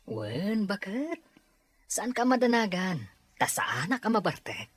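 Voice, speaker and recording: Lively laid-back Ilocano husband voiced by an actor. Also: male voice, high voice, slow, breathy edge, no room sound, exaggerated voice. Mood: nonchalant. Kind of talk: acted family drama dialogue.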